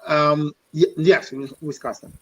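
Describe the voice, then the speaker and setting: A man's voice: fairly high pitch, level tone, unhurried, low-quality recording. A man, an athletics coach chatting casually as a guest, often repeating himself in short phrases. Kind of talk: casual chat.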